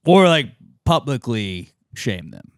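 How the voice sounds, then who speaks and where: A man's voice: mid-range voice, very animated, slow pace, clean tone, dry recording, studio quality. A man co-hosting a podcast in casual comedic chat, joking and reading out listener submissions. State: voice recently shot from shouting. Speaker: podcast co-host.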